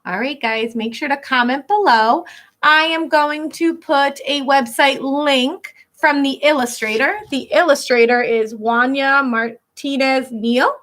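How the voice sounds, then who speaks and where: Bright, high-voiced woman reading a storybook aloud. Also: unhurried. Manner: teacherly, stops to translate and explain words simply. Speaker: elementary school teacher reading aloud to pupils. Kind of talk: expressive picture-book read-aloud with explanatory asides.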